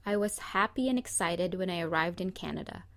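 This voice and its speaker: A woman narrating a scripted presentation in a measured, read-aloud manner. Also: fairly low pitch, no room sound, studio quality.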